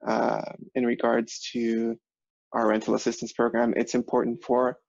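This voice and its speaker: A man speaking up in a work meeting on a conference call, with halting, formal phrasing. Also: medium pitch, completely flat delivery, vocal fry, a little mellow, no echo. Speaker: human services administrator. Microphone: remote conference call. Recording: dull, slightly noisy conference call.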